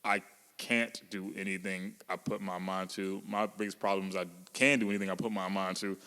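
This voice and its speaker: A man's voice: low-pitched, level tone, a little fast, sharp tone, smooth. A man around thirty co-hosting a podcast, talking in loose slang with a joking manner. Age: 30s. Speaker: podcast co-host. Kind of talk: casual chat. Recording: long hall reverb.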